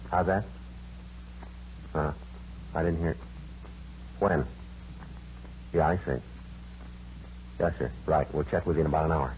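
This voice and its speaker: An actor playing a businesslike American detective sergeant in a radio drama, clipped, deadpan and matter-of-fact as he narrates his cases. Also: sits low, noisy background, close to an everyday voice. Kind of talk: 1950s radio drama acting, scripted narration. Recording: dull vintage radio recording, music behind.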